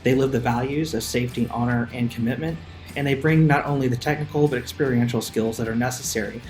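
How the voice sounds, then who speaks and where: A man's voice: medium pitch, fairly even intonation, fast talker, darkish tone. A man giving a prepared testimonial as a company representative. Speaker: corporate representative. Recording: roomy echo with music behind.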